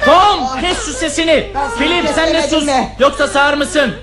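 An actor playing a bossy eldest son in a radio play, brusque and commanding. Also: male voice, very high-pitched, normal speed. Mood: bossy, irritated. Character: bossy eldest son ordering his siblings. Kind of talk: Turkish radio theatre acting. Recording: noisy old radio drama recording.

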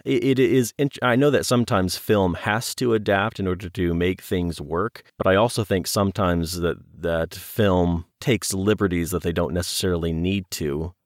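A man chatting casually in an interview, halting and often qualifying what he says. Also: sits low, fairly even intonation, no room sound, clean studio sound.

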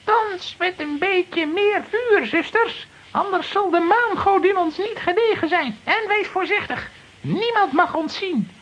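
High-pitched solemn witch leader. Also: expressive, unhurried, fairly bright tone, highs cut off, full character voice. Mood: commanding. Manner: ceremonial, commanding, exclamatory. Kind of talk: children's radio play acting.

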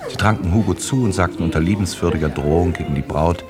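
Low-voiced male literary narrator. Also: fast, over music. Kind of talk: literary scripted narration for a radio play.

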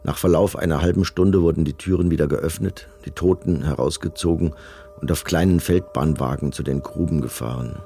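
Very deep, detached male commandant. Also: brisk, gravelly, warm tone. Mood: cold, detached. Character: male camp commandant, cold, bureaucratic, reading official reports. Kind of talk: documentary radio drama, official document reading.

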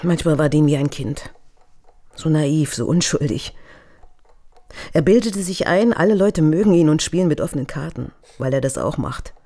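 A woman's voice: sits low, expressive, normal speed, mellow. An actress playing a guarded, melancholy woman in a radio crime drama, hesitant and evasive. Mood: wistful. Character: guarded, melancholy woman confiding about a naive man.

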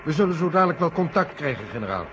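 An actor playing a brisk, businesslike mission control operator in a 1970s science fiction radio play. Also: male voice, fairly high pitch, big pitch swings, a bit bright. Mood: dutiful, brisk. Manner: clipped, businesslike. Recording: dull, noisy vintage radio play recording.

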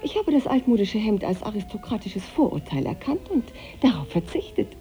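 An actress playing an alluring, witty Parisian mistress in a German radio play, coquettish and ironic. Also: female voice, mid-range voice, sing-song, fairly quick, dark tone, faint music behind, close to an everyday voice. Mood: teasing.

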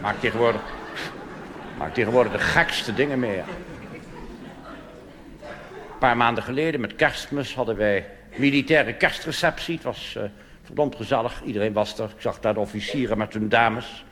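A Dutch cabaret comedian performing a blustering, pompous reactionary army officer in a rambling stage monologue. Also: male voice, medium pitch, moderate pace, long pauses, a bit bright, voice pushed down, lightly acted. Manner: pompous, rambling, tag questions. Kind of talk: stand-up cabaret monologue in character. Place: theater stage before a live audience. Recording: live stage recording with hall reverb and hiss.

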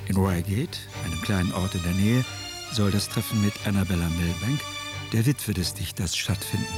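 A man narrating a scripted documentary radio drama in a measured, matter-of-fact way. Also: sits low, music in the background.

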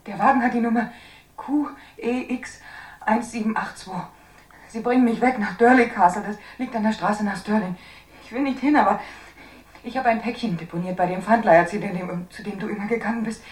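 An actress in a 1960s German radio drama playing a frightened young woman, speaking in a halting, pleading, intimate way. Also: medium pitch, roomy sound, recorded from a distance, lightly acted. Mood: urgent pleading. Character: frightened young woman leaving a desperate farewell message.

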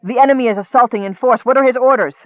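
A man voicing a harsh, hurried bowman soldier with a demanding manner in a storybook. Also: sits high, fairly even intonation, no room sound, narrow, tinny audio, natural voice. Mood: harsh, urgent.

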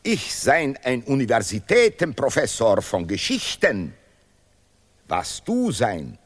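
An actor playing a pompous, self-praising history professor who declaims in deliberately broken German, in a stylised radio play. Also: male voice, medium pitch, huge pitch swings, slightly rough, exaggerated voice. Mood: boastful. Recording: reverberant hall-like studio sound.

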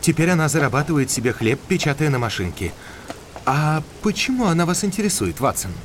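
Eager curious gentleman, pitch swooping wildly, bit of rainy noise around him. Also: a little fast. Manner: eager, animated. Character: excitable, inquisitive gentleman enthusiast of skull types. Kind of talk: audio drama dialogue.

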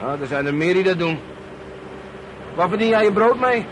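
An actor playing a chatty, blunt, nosy lorry driver in a radio drama. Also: male voice, high-pitched, lively intonation, moderate pace. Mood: chatty, prying. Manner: jovial, brash, prying. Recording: clear vehicle noise behind dialogue.

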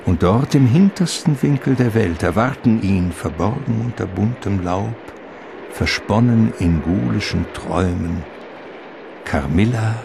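Very deep, creaky gothic narrator. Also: male voice, background noise, exaggerated voice. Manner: dramatic, ominous, deliberate. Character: ominous male storyteller of a gothic vampire tale. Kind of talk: theatrical scripted radio drama narration. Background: music.

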